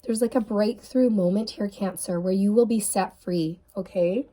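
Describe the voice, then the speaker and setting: A woman reads tarot cards in a loose, earnest way, filling her talk with "like" and "um." Her voice is mellow and soft. She sounds a little sniffly and tired. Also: mid-range voice, moderate pace. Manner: earnest, intuitive, many fillers like "like" and "um". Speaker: tarot reader. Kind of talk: casual tarot reading.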